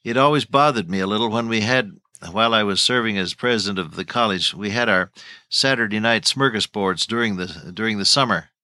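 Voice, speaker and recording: A man's voice: on the low side, a little fast, bright, forceful tone, no room sound. An older man teaching the Bible on radio, telling folksy stories and chuckling as he goes.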